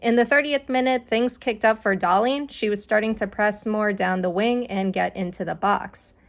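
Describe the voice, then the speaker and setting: A woman's voice: medium pitch, gravelly. A young woman hosting her own sports podcast, casually reviewing a soccer match with many fillers, her voice still recovering from an illness. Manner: casual, filler-heavy delivery, reads lineups quickly. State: recovering from voice loss.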